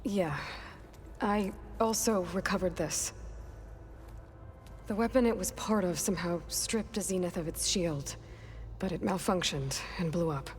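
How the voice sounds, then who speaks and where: An actress playing a determined young huntress who asks direct, probing questions in a game cutscene. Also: female voice, on the low side, unhurried, slight background noise, lightly acted. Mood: matter-of-fact. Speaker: game protagonist. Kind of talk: video game cutscene acting.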